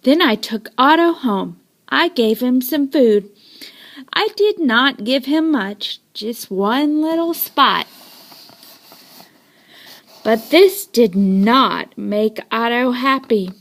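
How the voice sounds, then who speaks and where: Slow, high-voiced woman reading a storybook. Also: expressive. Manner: dramatic, deliberate storytelling with drawn-out emphasis. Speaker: storybook reader. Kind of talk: children's read-aloud, scripted narration in a child's first person.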